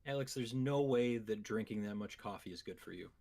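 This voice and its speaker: A man's voice: fairly low pitch, brisk, darkish tone. A man co-hosting a podcast, chatting casually as he joins in a sponsor read. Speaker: podcast co-host. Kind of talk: casual conversational ad banter.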